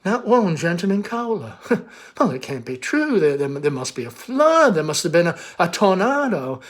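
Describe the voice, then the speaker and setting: A faded Southern belle of a mother, acted out by a man, airy and girlish in a raised pitch. She rambles and gushes theatrically, full of nostalgia for her youth, as in a stage drama. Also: big pitch swings, moderate pace. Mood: incredulous.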